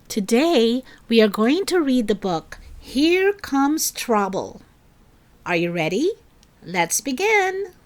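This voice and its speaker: A woman hosting and narrating a children's story in an expressive, deliberate read-aloud style. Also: a little high. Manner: slow, deliberate, animated delivery for children. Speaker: story reader and channel host.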